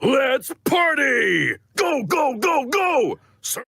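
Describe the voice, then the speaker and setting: A man voicing a bombastic, macho frat-boy robot action hero from a game, shouting with enthusiasm. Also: very high voice, very animated, extremely slow, creaky, dry recording, clean studio sound, voice pushed up, exaggerated voice. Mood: hyped up.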